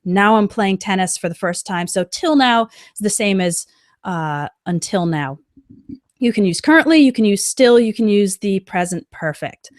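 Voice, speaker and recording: A woman's voice: mid-range voice, lively intonation, no room sound, clean studio sound. Woman teaching English. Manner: clear, slow modelling of example sentences. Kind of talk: live-stream English lesson.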